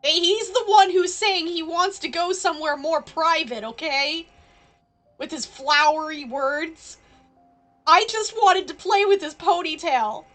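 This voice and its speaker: A woman's voice: extremely high pitch, unhurried, fairly bright tone, faint music behind. A woman doing casual, jokey live commentary as she streams a game, with a playful manner.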